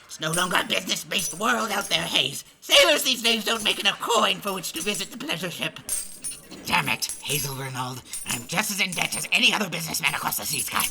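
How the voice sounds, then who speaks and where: A man's voice: sits high, lively intonation, a little fast, gravelly, pushed and bright, exaggerated voice. A man playing an oily, wheedling crooked businessman in an audio drama, with a theatrical, fawning manner. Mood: pleading. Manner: theatrical, fawning, swooping between purrs and squeals. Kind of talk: audio drama character acting.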